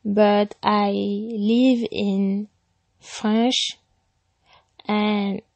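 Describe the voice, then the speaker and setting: A woman from Benin with a Beninese accent, reading a set passage aloud in a steady, careful way. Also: mid-range voice, extremely slow, gravelly, dry recording. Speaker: recorded contributor.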